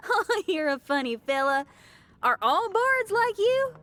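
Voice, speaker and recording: A woman's voice: very high voice, very animated, slow, a bit bright, soft background music, exaggerated voice. A woman voicing a spirited, hearty young female musician in a video game, with a folksy, punchy way of talking. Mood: hearty, amused. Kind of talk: scripted video game voice acting.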